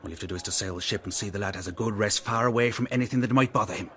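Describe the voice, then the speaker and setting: An actor playing a commanding fixer for powerful men in a radio drama, blunt and coaxing by turns, with menace underneath. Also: male voice, lowish voice, rapid-fire, fairly bright tone, close to an everyday voice. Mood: warning.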